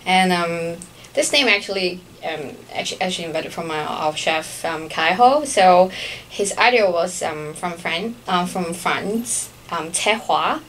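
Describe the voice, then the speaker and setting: A young woman from Singapore talks about her work in a relaxed, halting way, full of fillers and short laughs. Her voice sits low for a woman. Faint noise hangs behind her in the radio studio. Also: poor audio. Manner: hesitant, many fillers and laughs. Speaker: pastry chef guest. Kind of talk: casual interview answers.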